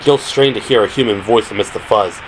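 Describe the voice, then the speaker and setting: A man narrating an audio drama in a measured, scripted storytelling style. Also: lowish voice, slight background noise, poor audio.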